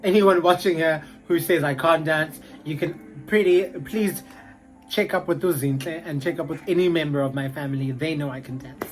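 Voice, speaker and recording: A man's voice: a little high, moderate pace, soft background music. A young man with a South African accent, chatting casually to camera in a rambling way full of fillers. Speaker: vlogger.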